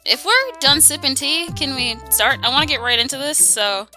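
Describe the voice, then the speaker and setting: Female video host, high and very bright, casual group banter over faint music. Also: lively intonation, normal speed. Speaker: host of a fan video series. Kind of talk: casual chat with co-hosts.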